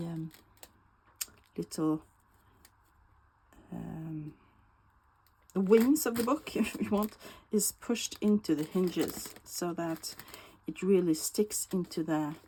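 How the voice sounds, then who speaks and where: A woman with a Swedish accent talks through a craft project at a slow, unhurried pace. Her voice sits fairly low, and she pauses often with fillers. A faint hiss runs under the recording. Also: creaky, a little mellow, poor audio. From Sweden. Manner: slow, chatty, hesitant with fillers. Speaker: crafting channel host. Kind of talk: casual craft tutorial.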